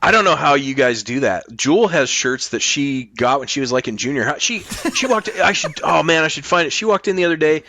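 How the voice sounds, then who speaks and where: A man's voice: on the high side, animated, brisk, few pauses, pushed and bright, no echo. Sweary middle-aged man, dry close sound. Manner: blunt, sweary. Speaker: podcast guest. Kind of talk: casual chat.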